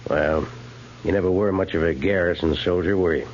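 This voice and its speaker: An actor playing a brisk, wry senior army officer in a 1950s radio drama. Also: male voice, sits low, a little mellow, lightly acted. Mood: wry authority. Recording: muffled, hissy 1950s radio recording.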